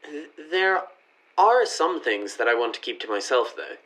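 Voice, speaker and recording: Radio play boyfriend, woman actor: low voice, weary and withdrawn, guarded, stammering, naturalistic delivery, fed up with his relationship. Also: big pitch swings, moderate pace, a bit bright, full character voice, poor audio.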